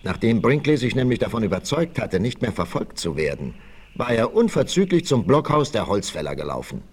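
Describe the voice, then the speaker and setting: A man's voice: lowish voice, big pitch swings, a little fast, slightly rough. A man narrating a 1960s German radio play in dramatic scripted storytelling. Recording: 1968 vinyl record, faint noise.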